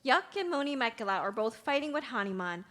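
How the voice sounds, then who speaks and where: A woman's voice: fairly high pitch, big pitch swings, a little fast, fairly bright tone. A woman reading stage directions as narrator at a play's table read, in scripted narration.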